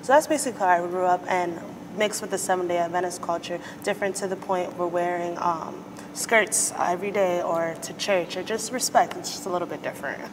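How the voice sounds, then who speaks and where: Relaxed young woman, lots of "um". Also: fairly low pitch, in a large hall, background noise. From United States. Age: young adult. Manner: relaxed, filling pauses with "um". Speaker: student guest. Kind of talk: interview answers. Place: indoor studio set. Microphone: lapel mic.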